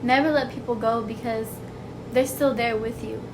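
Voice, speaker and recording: A young woman with a soft, childlike voice, talking earnestly to her viewers in a rambling way, full of "like" and "you know". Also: on the high side, fairly even intonation, normal speed, background noise. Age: young adult. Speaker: vlogger. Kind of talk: heartfelt personal talk to camera.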